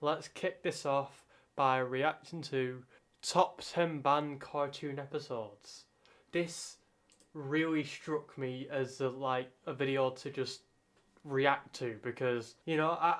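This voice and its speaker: Slow, rambling young man reacting. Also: mid-range voice, fairly even intonation, a bit bright. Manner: rambling, halting, self-correcting asides. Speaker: reaction video host. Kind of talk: casual reaction commentary.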